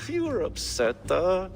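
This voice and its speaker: Wildly animated, cowardly Norse rogue. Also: male voice, high voice, slow pace, creaky, heavily acted. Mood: wheedling, nervous. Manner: nervous stammering, mocking, theatrically animated. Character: cowardly, wheedling Norse rogue and petty thief. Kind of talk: video game cutscene acting.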